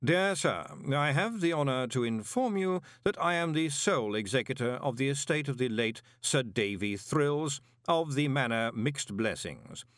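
A man's voice: medium pitch, animated, normal speed, few pauses, a bit bright, no echo, clean studio sound, voice pushed up, lightly acted. A man voicing a talking letter from a lawyer, speaking in a clipped, precise way. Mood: formal.

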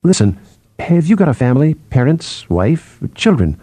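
A man voices a level-headed psychologist in a storybook tale. He probes and prods in a practical way. His tone is mellow and the sound is close and dry. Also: on the high side, big pitch swings, normal speed, breathy edge, no echo. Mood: probing. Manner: questioning, prodding.

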